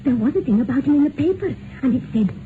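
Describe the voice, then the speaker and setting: High-pitched housemaid, 1950s radio play, excitable and gossipy, very lively intonation, noisy vintage sound. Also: female voice, quick pace, phone-quality sound. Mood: chatty, wistful. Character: chatty, emotional working-class housemaid, landlady's daughter. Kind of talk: 1950s radio drama acting.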